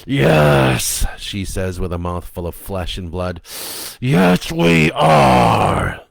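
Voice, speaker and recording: A man's voice: deep voice, huge pitch swings, drawn-out words, vocal fry, no echo, studio quality, full character voice. A man voicing a monstrous shape-shifting mother creature who coaxes sweetly and then shrieks threats. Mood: gloating.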